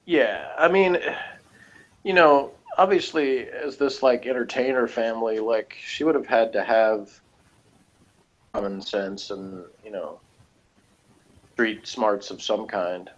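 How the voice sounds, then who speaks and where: Man, low voice, casual review chat, rambling halting delivery, muffled lo-fi sound. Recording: dull lo-fi audio.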